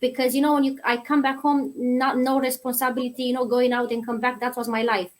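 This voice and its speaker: A woman's voice: high-pitched, level tone, brisk. A woman in her thirties from Romania, a guest on an online show, talking in a friendly, chatty way with many fillers. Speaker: guest, nursery teacher. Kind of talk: interview answers.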